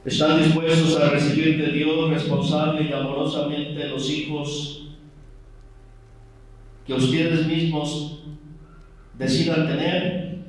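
Slow-spoken priest in an echoey church. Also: male voice, on the high side, level tone, distant mic. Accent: Mexican Spanish. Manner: slow and deliberate, gently scolding asides. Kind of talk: wedding homily.

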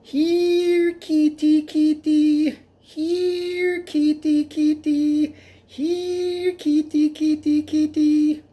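A man's voice: extremely high pitch, level tone, very slow, mellow, pitched above the performer's own voice, full character voice. A man voicing little mouse islanders in a storybook, chanting repetitively. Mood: ritual chanting.

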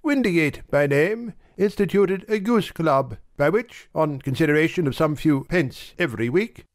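A man playing a pompous, wordy, courteous gentleman in a dramatised audiobook. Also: high voice, no room sound, clean studio sound. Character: pompous, grateful middle-aged gentleman, Mr Baker.

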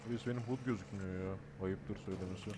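A man's voice: sits low, steady tone, fast, warm tone. A man chatting casually in an online roleplay game, making crude mock threats with plenty of swearing.

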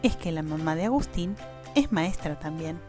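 A woman with an Argentine accent tells a children's story. Her delivery is scripted and expressive, with lively swings in pitch. Faint music plays behind her. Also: normal speed.

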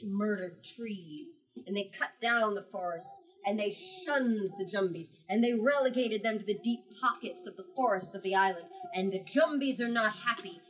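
A young adult woman telling and reading a story to children in an expressive, emphatic way, as a book talk leader. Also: mid-range voice. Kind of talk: storytelling and read-aloud to children. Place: small bookstore, indoors. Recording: distant from the microphone.

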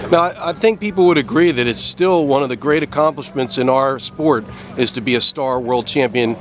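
A man's voice: mid-range voice, moderate pace, a little hiss or hum. A man with a mid-range voice conducts an interview outdoors. He puts his questions in a conversational style. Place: on a boat in a marina, outdoors.

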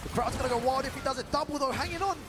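A man co-commentating a fighting-game tournament, his voice going hoarse, peppering his talk with "you know" and "bro". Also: very high-pitched, racing. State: losing his voice. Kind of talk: esports color commentary.

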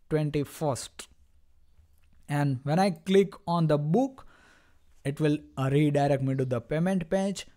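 A man instructing in an unscripted screen-recorded software demo, explaining step by step in a halting way and addressing viewers directly. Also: fairly high pitch.

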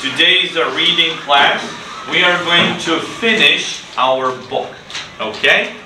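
Slow-talking male teacher, echoey classroom. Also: fairly high pitch. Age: middle-aged. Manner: deliberate, simple phrasing with repeated prompts and praise. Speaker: English teacher. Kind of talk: interactive classroom teaching to children. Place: classroom, indoors. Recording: echoey, noisy classroom from far off.

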